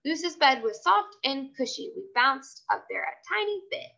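A woman reading a children's story aloud, expressively and in a childlike, animated manner. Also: high voice, moderate pace, vocal fry, lo-fi sound.

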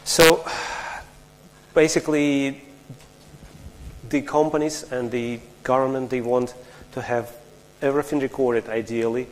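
A man's voice: mid-range voice, steady tone. Man speaking in a lecture hall. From Russia. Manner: explanatory, halting with restarts, questions the audience. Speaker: conference speaker. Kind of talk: technical conference talk.

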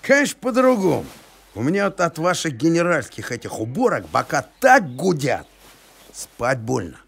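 A man's voice: fairly high pitch, huge pitch swings, moderate pace, vocal fry, a bit bright, husky, exaggerated voice. A man voicing a sentimental, simple-hearted and talkative male dog in a cartoon, emotional and argumentative. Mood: complaining. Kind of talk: animated series voice acting.

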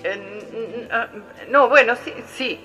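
A woman's voice: a little high, slow pace, sharp tone. An actress playing a polite but persistent saleswoman making a collection call in a radio drama. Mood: polite, flustered. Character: persistent, polite female debt-collecting phone sales agent. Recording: faint music behind the voice.

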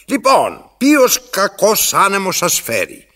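An actor playing a pompous, cantankerous old master in a theatrical radio play, declaiming with halting repetitions. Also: male voice, sits high, wildly swooping pitch, pushed and bright, full character voice. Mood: pompous storytelling.

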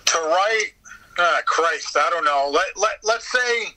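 A man with a fairly high, very bright and forceful voice talks casually as an interview guest. He tells stories in an animated way, acting out bits of conversation and swearing lightly. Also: takes it slowly, rough recording. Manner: animated storytelling, quoting exchanges, mild swearing. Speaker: podcast guest, voice actor.

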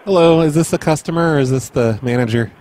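A man doing prank phone calls as a mall Santa, in a deadpan, crude, mock-serious way. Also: on the high side, fast talker, slight background noise. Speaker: prank call host.

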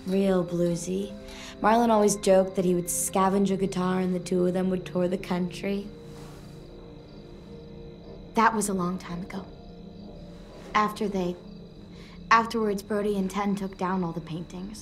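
Casting: actress as awkward tough teen girl survivor, mid-pitched, hesitant and casual, game drama with faint music. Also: steady tone, a little slow, long pauses, lightly acted. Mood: wistful. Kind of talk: video game drama dialogue.

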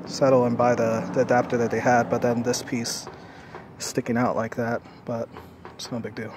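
Middle-aged dad rambling on a phone cam outside, pretty monotone, lots of ums, faint yard noise. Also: male voice, mid-range voice. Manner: relaxed, rambling, many fillers and restarts. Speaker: DIY builder showing his camping trailer. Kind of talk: casual walkthrough. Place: outdoors on a residential lawn. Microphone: phone camera held in hand.

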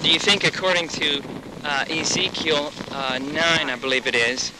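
A man narrating a religious documentary in a grave, deliberate way and questioning an interviewee. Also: a little high, steady tone, noisy background. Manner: slow, grave, deliberate reading.

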